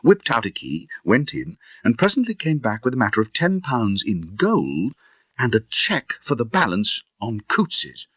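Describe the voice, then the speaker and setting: An indignant Victorian gentleman, played by a male audiobook reader recounts a grim incident in a British accent. The voice is low and husky, swinging dramatically in pitch. It is closely recorded and dry, with emphatic conversational asides. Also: no echo. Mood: wry, emphatic.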